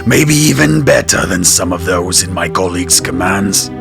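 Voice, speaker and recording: An actor playing a swaggering military lieutenant in an audio drama, a showman who talks with gravelly, deliberate relish. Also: low-pitched, animated, music in the background. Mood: conspiratorial, boastful. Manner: slow, deliberate, conspiratorial showman.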